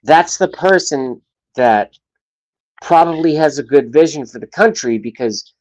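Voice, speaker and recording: A man in his late thirties hosting a livestream talk show, rambling and profane, with his loudness swinging up and down. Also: a little high, expressive, unhurried, rough recording. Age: 30s.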